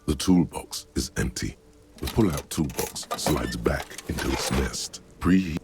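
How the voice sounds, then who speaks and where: An actor voicing a solemn, cryptic inner-voice narrator in a video game, speaking in measured, literary, brooding phrases with a gravelly voice. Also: male voice, exaggerated voice. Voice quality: gravelly, very deep. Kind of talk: scripted second-person game narration. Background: music.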